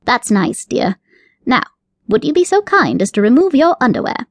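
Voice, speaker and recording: A woman's voice: high voice, big pitch swings, moderate pace, no echo, clean studio sound, pitched above the performer's own voice, full character voice. A woman putting on a prim, fussy male rabbit. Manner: fussy, formal, haughty.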